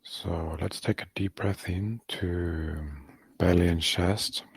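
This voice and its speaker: A man guides a meditation in a low, creaky voice that barely rises or falls. He talks calmly in short, halting phrases, leaving long gaps between them. The delivery is soft and unhurried. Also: dry recording. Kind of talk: guided meditation, softly spoken instruction.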